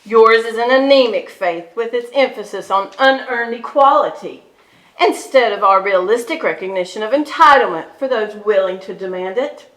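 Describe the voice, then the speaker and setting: Female actor for bitter pagan queen mother, stage drama: imperious, cutting bright voice, scornful delivery, roomy acoustic. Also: fairly high pitch, lively intonation, normal speed. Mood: scornful fury. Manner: haughty, mocking, declamatory. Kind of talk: theatrical stage reading. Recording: echoey stage hall.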